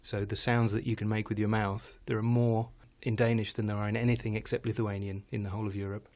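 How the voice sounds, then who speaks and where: A man's voice: fairly low pitch, flat delivery, fast, darkish tone. A man speaking as a guest on a live show, chatting casually, often restarting and trailing off, laughing now and then. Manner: rambling, self-correcting, laughs often. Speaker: guest musician. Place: home studio in Denmark.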